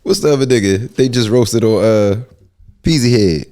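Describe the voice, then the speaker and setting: A man's voice: on the low side, normal speed, warm tone. A man of forty in casual podcast banter, slangy, teasing and swearing freely. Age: 40s.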